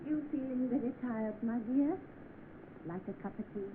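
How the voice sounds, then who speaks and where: A woman's voice: a little high, moderate pace, lightly acted. An actress playing a kindly, motherly working-class landlady in 1930s film style. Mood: kindly, reassuring. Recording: noisy narrow-band old film soundtrack.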